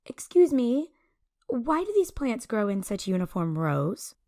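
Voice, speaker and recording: Woman acting a slow, lilting, curious mysterious wanderer. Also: a little high, big pitch swings, darkish tone, dry recording, studio quality. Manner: polite, careful, formal. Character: polite, curious veiled female wanderer, an otherworldly creature. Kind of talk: fantasy audio drama acting.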